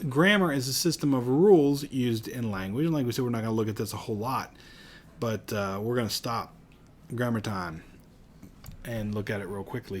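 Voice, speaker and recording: A man's voice: fairly low pitch, slight background noise. A man from Pittsburgh with a Pittsburgh accent, teaching a recorded lecture in a chatty, conversational way with casual asides.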